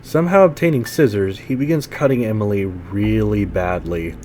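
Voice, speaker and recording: A man's voice: on the low side, moderate pace, very noisy. A man hosting a podcast, giving a scripted story recap with informal, wry asides. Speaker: podcast host and reviewer. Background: music.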